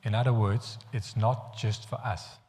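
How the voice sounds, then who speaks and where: A man from the Netherlands with a Dutch accent, preaching a sermon in an earnest, halting way with frequent restarts. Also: fairly low pitch, steady tone, a little mellow. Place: church hall. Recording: long hall reverb.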